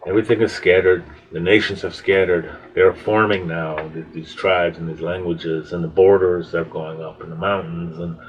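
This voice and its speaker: A man telling a biblical story in an improvised, conversational way, halting and rambling as he reflects. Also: sits low, level tone. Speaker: storyteller, podcast host. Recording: dull lo-fi audio with background noise.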